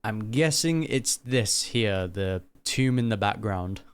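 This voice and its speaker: A man's voice: on the low side, animated, takes it slowly, clean tone. Casual, joking man reacting to a game. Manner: joking, slangy, conversational. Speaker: gaming reaction host. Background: game music.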